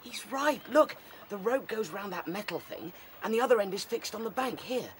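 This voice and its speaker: A man playing a small, plucky young rabbit in a radio drama, encouraging and quick. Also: sits high, lively intonation, a little hiss or hum. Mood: eager.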